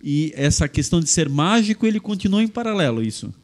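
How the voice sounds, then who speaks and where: A man's voice: on the high side, sing-song, fast, a little mellow. A man hosting a podcast interview, asking long, winding questions in a formal way. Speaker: podcast host.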